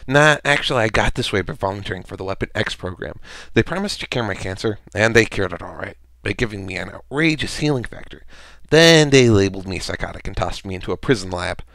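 A man's voice: on the low side, lively intonation, fast, creaky, fairly bright tone, no echo. A man voicing a cocky, irreverent mercenary antihero with a sarcastic, playful, taunting manner, as in a character voice demo. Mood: boastful, sardonic. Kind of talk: amateur character voice acting demo.

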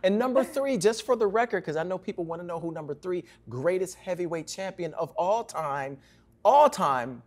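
A man's voice: high-pitched, normal speed. A man in his forties with a Ghanaian accent, hosting a studio talk show with playful, teasing banter. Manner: joking, teasing, lively banter. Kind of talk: casual playful interview. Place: small indoor TV studio set.

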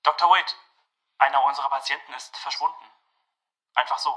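A man's voice: fairly high pitch, fairly even intonation, a little fast, pushed and bright. An actor playing a flustered male asylum attendant, anxious and clipped, in a German radio play. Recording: echoey room.

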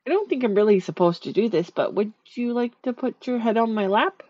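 Sweet, shy dream eater spirit, a woman voicing her, soft soothing ASMR delivery, dry close mic. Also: fairly high pitch, animated, brisk, no echo, muffled recording. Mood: gentle, soothing. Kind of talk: ASMR sleep-aid roleplay.

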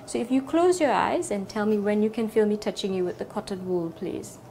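A woman's voice: mid-range voice, fairly quick, warm tone. A young adult woman working as a clinician in a small clinic room, explaining sensation tests to a patient. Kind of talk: instructional clinical exam talk. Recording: clear background room noise.

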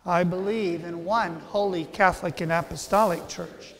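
A man with a slightly raised pitch recites liturgical prayers in a steady, measured way. His voice carries the long reverb of a large church. It sounds like a priest leading a service.

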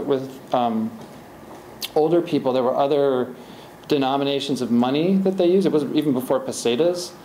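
A man's voice: medium pitch, a little mellow. A middle-aged man, an author at a podium, answering audience questions in a rambling, conversational way with many restarts. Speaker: author at a library talk. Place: library event room, indoors. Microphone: podium microphone, far camera. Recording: roomy hall echo, faint hiss.

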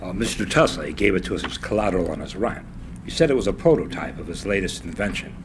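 Man reading nervous hotel manager, deep voice, steady hiss. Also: vocal fry. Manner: nervous, hurried.

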